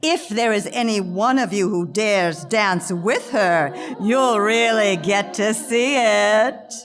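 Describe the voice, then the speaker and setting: An actress playing a flattering, grandiose female charlatan presenting a puppet show in a theatrical reading, with grand, deliberate delivery. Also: mid-range voice, natural voice. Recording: reverberant hall, music underneath.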